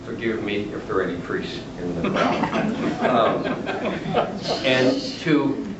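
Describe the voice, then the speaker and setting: Older man, low voice, chatty humorous lecture, distant roomy noisy recording. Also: lively intonation, takes it slowly. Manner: chatty and humorous, chuckling at own jokes. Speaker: retired literature professor lecturing. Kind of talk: lecture with asides. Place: large lecture room, standing at a lectern. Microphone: camera at the back of the room. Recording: roomy, distant, dull, noisy camera audio.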